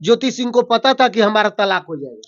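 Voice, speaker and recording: A woman's voice: a little high, expressive, a little fast. A woman asking conversational questions as an interviewer for a web news channel. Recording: dull, lo-fi sound.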